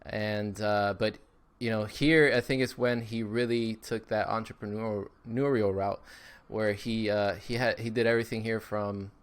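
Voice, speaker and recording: Upbeat podcast guest, a man in his thirties, lowish smooth voice, talks loosely with fillers. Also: steady tone, unhurried. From Miami, Florida. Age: 30s. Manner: upbeat, with frequent fillers and repeats. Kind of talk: casual interview chat.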